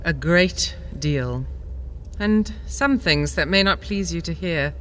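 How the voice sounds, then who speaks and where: An actor voicing a calm advisor who speaks in a measured, grave way. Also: mid-range voice, slight background noise. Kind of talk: audio drama acting.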